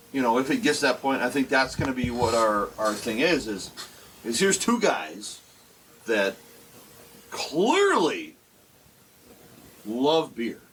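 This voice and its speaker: A man's voice: mid-range voice, fairly bright tone, slight background noise, poor audio. A man in his forties co-hosting a casual podcast, talking in a rambling way with many restarts. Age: 40s. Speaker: podcast co-host. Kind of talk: casual chat. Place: basement.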